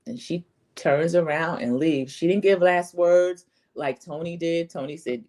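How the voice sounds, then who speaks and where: A woman's voice: lowish voice, a little slow, darkish tone. A woman with a slightly scratchy voice giving a casual, chatty, opinionated recap as the host of a reality TV commentary channel. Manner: opinionated, rambling storytelling with acted-out quotes.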